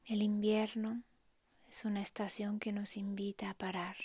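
A woman softly narrating a guided meditation in a hushed, calm, breathy way, leaving long pauses. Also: medium pitch, dry recording.

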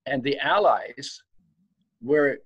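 Older husky man on a video call. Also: mid-range voice, expressive, takes it slowly, a bit bright, no room sound. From Poland. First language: German. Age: 70s. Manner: reflective, laughs between sentences. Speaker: guest. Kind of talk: personal storytelling. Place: home study lined with bookshelves.

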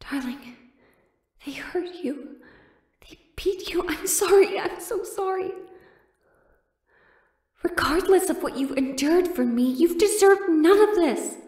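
A woman's voice: very high voice, slow, pauses often, darkish tone, no echo, studio quality. A woman playing a sheltered, pleading young royal in a romantic audio drama, with hesitant, formal old-fashioned phrasing. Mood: anguished, tender.

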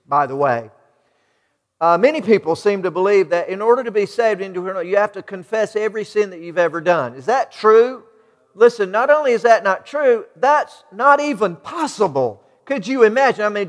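A man's voice: sits high, a bit bright. A middle-aged American man preaching a sermon, emphatic, putting rhetorical questions to his congregation. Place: large church sanctuary, indoors. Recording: reverberant church hall.

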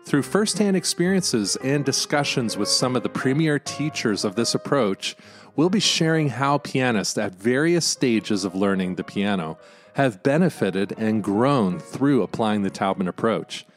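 A man speaks as a podcast host, in the manner of a prepared introduction or interview. His pitch is average for a man and his pace is slow. Music plays clearly behind him. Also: animated.

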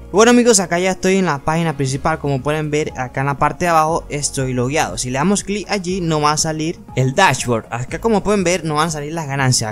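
A man with a Venezuelan accent narrating an informal screen tutorial, explaining step by step and addressing viewers as friends. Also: a little high. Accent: Venezuelan Spanish. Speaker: tutorial channel host. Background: background music.

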